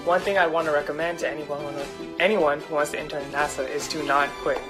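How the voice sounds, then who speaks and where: A young woman from California with a low voice and a quick pace. She gives a casual testimonial as an intern, with music behind her. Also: fairly even intonation. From California, United States. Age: young adult.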